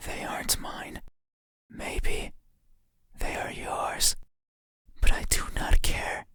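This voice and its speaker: An actor voicing a creepy, intimate bedside monster in a horror game, pleading and menacing in a breathy, insistent whisper. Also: pushed and bright, no room sound. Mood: accusing, eerie. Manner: slow, insistent, eerily deliberate.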